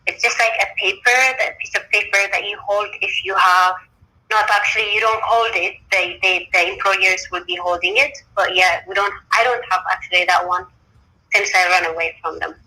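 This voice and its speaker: A woman from Ethiopia joining a panel by phone, speaking hesitantly with many pauses and fillers. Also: medium pitch, steady tone, bright, forceful tone, low-quality recording. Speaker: panel guest, freelance migrant worker. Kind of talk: answering questions on a panel. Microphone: phone on a messaging-app call.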